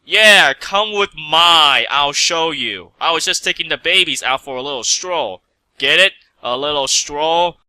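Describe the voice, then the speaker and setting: A man voicing a bubbly, excitable pink female pony in a fan story reading. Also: on the high side, measured pace, smooth, dry recording. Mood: gleeful.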